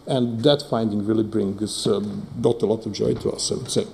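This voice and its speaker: Serbo-croatian native guy explaining data stuff, hesitant, keeps saying right, mellow gravelly tone. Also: on the low side, steady tone, normal speed. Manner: hesitant, many fillers, asks "right?" often. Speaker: presenter. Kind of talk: technical presentation.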